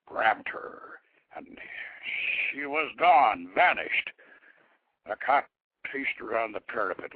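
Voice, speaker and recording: A man reading a story aloud as dramatic scripted narration, theatrical in delivery, swelling loud and sinking to hushed tones. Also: mid-range voice. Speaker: host reading his own novelette. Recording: narrow-band phone line, internet radio.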